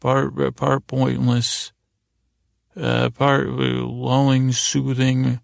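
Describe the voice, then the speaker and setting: Male bedtime podcast host, heavy vocal fry, flat intonation, halting and whimsical rambler who loses his way between words. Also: mid-range voice, dry recording, studio quality. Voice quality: gravelly, creaky. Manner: gently silly, whimsical.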